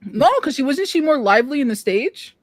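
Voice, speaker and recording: A woman's voice: high-pitched, lively intonation, brisk, no echo. A woman chatting casually on a panel about films, arguing her points emphatically in slangy speech. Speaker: podcast panelist.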